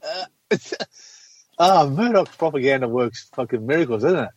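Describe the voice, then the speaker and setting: Man, fairly high voice, mocking sarcastic chat, dull dry recording. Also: animated, moderate pace, husky. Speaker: podcast co-host. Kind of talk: casual profane chat. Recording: dull lo-fi sound.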